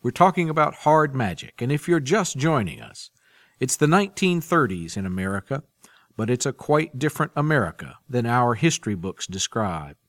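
A man's voice: mid-range voice, big pitch swings, moderate pace, a bit bright, no room sound. A man hosting a podcast, presenting and interviewing in a prepared, orderly way into a close mic. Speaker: podcast host.

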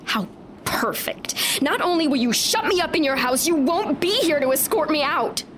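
A woman's voice: sits high, expressive, moderate pace, a bit bright, a little hiss or hum. An actress playing a bitter, proud young Roman noblewoman in an audio drama, with formal, cutting diction. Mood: bitter, angry. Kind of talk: full-cast audio drama acting.

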